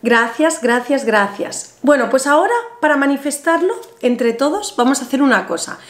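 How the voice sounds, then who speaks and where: Spanish woman in her 30s, chatty and animated, explaining stuff at a table, roomy echo. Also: high voice, moderate pace. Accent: Spain Spanish. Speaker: ritual instructor. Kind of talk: step-by-step ritual tutorial to camera. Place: small bright room indoors, seated at table. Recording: roomy echoey room sound.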